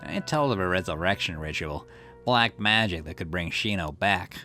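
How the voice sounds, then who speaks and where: A ruthless, grief-obsessed man from a game, read aloud by a man in a low voice that snarls and flares into outbursts. Game music plays clearly underneath. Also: animated, moderate pace, sharp tone. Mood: brooding. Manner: snarling, defensive, rising to outbursts. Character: bitter grieving middle-aged man, obsessive and ruthless. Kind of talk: reading game dialogue aloud.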